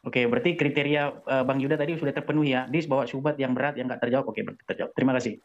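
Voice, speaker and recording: A man's voice: medium pitch, fairly even intonation, rapid-fire. A man in a heated online debate, arguing insistently and pressing his case. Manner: argumentative, insistent. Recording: dull lo-fi audio.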